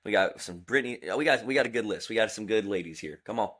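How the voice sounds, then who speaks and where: Lively, hyped man in his twenties. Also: fairly low pitch, quick pace. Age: 20s. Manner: playful, hyped, boastful banter. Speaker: podcast co-host. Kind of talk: casual podcast chat.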